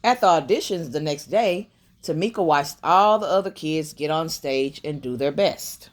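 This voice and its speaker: A woman reading a children's picture book aloud in an expressive way, with quiet murmured asides, as a bedtime storyteller. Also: low voice, moderate pace.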